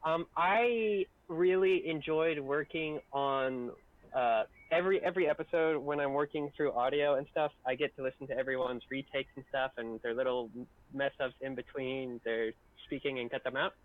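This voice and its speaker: A man's voice: mid-range voice, fairly even intonation, moderate pace, narrow, tinny audio. A man chatting casually and jokily as a co-host on a group livestream. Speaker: audio editor and co-host on a stream.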